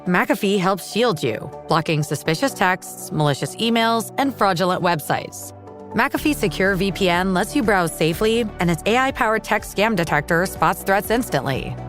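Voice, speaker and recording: A woman's voice: mid-range voice, lively intonation, moderate pace, soft background music. A woman reading a scripted commercial as a voice-over.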